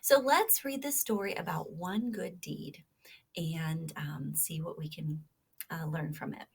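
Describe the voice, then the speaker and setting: A woman's voice: deep voice, a little slow, creaky, breathy edge. Woman reading a children's story aloud. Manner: expressive, prompting, addresses young listeners. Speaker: storybook reader. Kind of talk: read-aloud children's story with questions to listeners.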